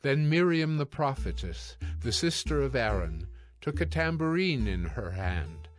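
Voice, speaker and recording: A man with a mid-pitched voice narrates scripture. His storytelling is formal and measured, and music plays clearly behind the narration. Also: very animated.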